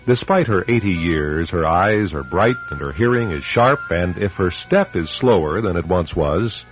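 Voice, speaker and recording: A man hosting and narrating a radio drama, reading scripted introductions in a measured, wry way. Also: deep voice, animated, faint music behind. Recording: dull, band-limited radio recording.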